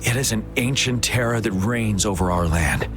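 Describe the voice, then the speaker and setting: A man playing a grave, low-voiced general. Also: close to an everyday voice. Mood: earnest, grave. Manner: measured, earnest and formal. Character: stern, dutiful male military general. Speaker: general leading the defence. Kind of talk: voiced video game cutscene. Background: cinematic music.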